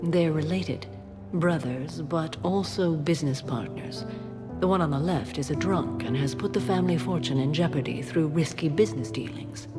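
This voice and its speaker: An actress playing a pensive, gently teasing companion in a space video game, speaking in measured, formal phrases. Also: female voice, deep voice. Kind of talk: intimate game dialogue acting. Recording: loud music behind the voice.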